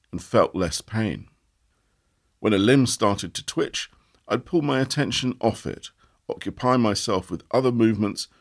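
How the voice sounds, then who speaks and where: Expressive, slightly husky male narrator. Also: on the low side. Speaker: audio article reader. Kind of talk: scripted narration of a first-person essay.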